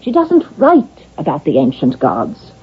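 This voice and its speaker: A probing, husky-voiced psychiatrist. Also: female voice, low-pitched, wildly swooping pitch, heavily acted. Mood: insistent. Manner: measured, deliberate, pressing. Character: probing, insistent psychiatrist questioning an accused killer. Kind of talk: vintage radio drama acting. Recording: dull old radio drama recording.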